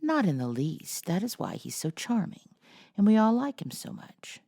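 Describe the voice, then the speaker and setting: A woman voicing a principled, gently firm mother in a storybook reading, speaking in a measured, moralizing way. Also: mid-range voice, very animated, creaky, pitched above the performer's own voice. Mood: warm approval. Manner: measured, moralizing, kindly stern.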